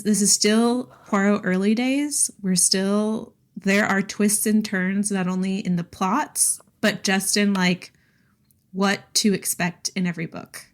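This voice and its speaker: A woman co-hosting a podcast, discussing books in a casual, conversational way. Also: medium pitch. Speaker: podcast co-host.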